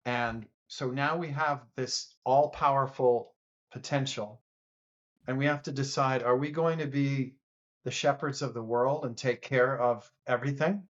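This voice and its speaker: A man appearing as a podcast guest, speaking earnestly and haltingly, often restarting in a casual political debate. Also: mid-range voice, dull audio.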